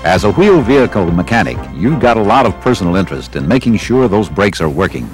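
An American man reading scripted instructional narration for a training film in a measured, didactic way. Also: on the low side, sing-song, over music. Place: studio voice-over.

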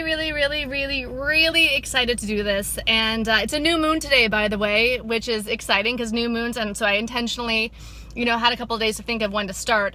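A woman in her thirties talks to her viewers from a parked car, her voice bright and a touch high. She is chatty and encouraging, full of ums and restarts, speaking close to a phone held at arm's length. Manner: upbeat, encouraging, chatty with many fillers. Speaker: sobriety coach and vlogger. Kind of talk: casual motivational vlog. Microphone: phone camera at arm's length.

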